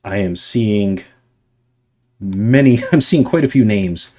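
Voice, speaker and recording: Husky young man streaming, rambling. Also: on the low side, animated, takes it slowly, a little mellow. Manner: rambling, filler-heavy, explaining to viewers. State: slightly hoarse from long streaming. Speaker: livestreamer answering chat. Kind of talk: casual livestream chat.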